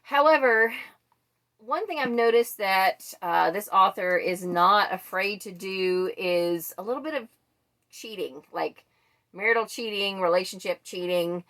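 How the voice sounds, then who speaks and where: A woman's voice: medium pitch, measured pace, a bit bright. A woman book vlogger chatting casually and thinking aloud as she goes. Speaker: book vlogger presenting a monthly reading wrap-up. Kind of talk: casual chat to camera.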